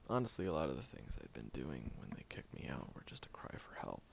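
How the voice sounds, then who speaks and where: A low, heavily creaky young man's voice acted by a man in an intimate audio roleplay, blunt and defensive with a caring core. He talks straight to the listener in a halting, grumbling way, his pitch moving freely, on a slightly dull home recording. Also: wildly swooping pitch, slight background noise, exaggerated voice. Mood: wounded, resolute. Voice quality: gravelly, low. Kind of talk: audio roleplay acting, one-sided dialogue addressed to the listener.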